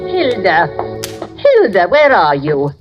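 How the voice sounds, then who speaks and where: An actress playing a scolding, suspicious old spinster in an old radio drama. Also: female voice, mid-range voice, wildly swooping pitch, slow pace, over music. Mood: cranky, muttering. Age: older. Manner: scolding, fretful. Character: cranky, suspicious old spinster aunt, rich and stern. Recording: dull, lo-fi old radio recording.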